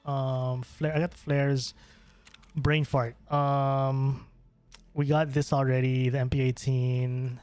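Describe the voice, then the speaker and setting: A man's voice: mid-range voice, little pitch movement, slow, darkish tone, dry recording. A young man from the Philippines, streaming a game and chatting casually with viewers, rambling with many fillers and restarts. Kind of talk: casual gameplay stream chat. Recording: faint music behind voice.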